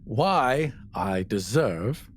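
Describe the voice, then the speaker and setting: Man playing a pompous, slow-talking entitled adventurer. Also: lowish voice, sing-song, a little hiss or hum, heavily acted. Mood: haughty, self-righteous. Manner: pompous, deliberate, grandiose. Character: entitled, self-important adventurer who believes he deserves power.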